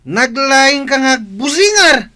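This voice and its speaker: A male actor as a cranky, drunken husband in an audio drama. The voice sits extremely high for a man and is sharp and forceful. He scolds and argues defensively. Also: very animated, slow pace, full character voice. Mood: quarrelsome, irritated. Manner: scolding, argumentative. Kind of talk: Philippine audio drama acting.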